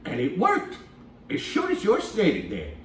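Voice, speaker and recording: A man voicing a cheeky little gray male mouse with a squeaky, teasing way of talking. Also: huge pitch swings, moderate pace, a little hiss or hum. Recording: echoey room from a distance.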